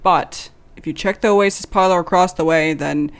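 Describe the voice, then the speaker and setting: A man's voice: high voice, normal speed, fairly bright tone, smooth. A man playing a weary bartender in an audio drama, casual and reluctant in manner. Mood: wary, reluctant. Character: weary, gossipy tavern bartender. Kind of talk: dramatic audio fiction acting.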